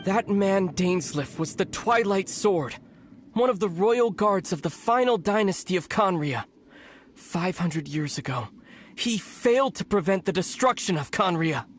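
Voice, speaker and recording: A man's voice: high-pitched, animated, slight background noise. An actor playing a grave, resolute young man in a game who leads the Abyss and speaks to his sister. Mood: solemn. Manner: grave, measured, solemn. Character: young man, lost brother leading the Abyss, solemn and resolute. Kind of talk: game cutscene voice acting.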